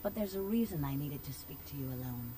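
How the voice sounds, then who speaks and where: A sultry vampire club owner from a video game, speaks very low with a breathy, coy purr. She is seductive and flirtatious, over clearly noisy ambience. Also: lively intonation, warm tone. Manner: slow, coy, flirtatious purring. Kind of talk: video game character acting.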